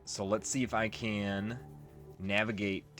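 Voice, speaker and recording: A man's voice: on the low side, little pitch movement, a little slow. A man doing casual, rambling video game commentary as a let's play host, informal and nostalgic. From United States. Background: video game music.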